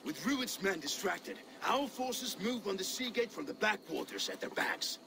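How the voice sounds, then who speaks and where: An actor playing a grave, loyal Viking war captain in a dramatic video game. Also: male voice, sits high, animated, normal speed, gravelly, background noise.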